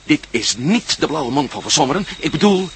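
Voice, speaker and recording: An actor playing a decisive adventurer in a radio drama, explaining danger with emphasis and giving orders. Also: male voice, a little high, big pitch swings, fairly quick, fairly bright tone. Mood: grave, explaining. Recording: noisy old radio drama recording.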